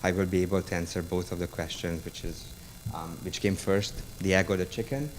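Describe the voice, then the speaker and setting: A man's voice: deep voice, level tone, slight background noise. A man with a Hungarian accent, a university lecturer giving a public talk about a book, hesitant and full of ums and restarts. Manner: halting, many ums and restarts.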